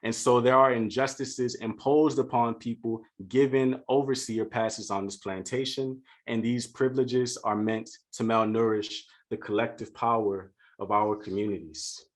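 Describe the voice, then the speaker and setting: A man from Louisiana giving a prepared keynote speech for a virtual conference, speaking in an earnest, measured and deliberate way. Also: mid-range voice, fairly even intonation. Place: home setup for a virtual conference.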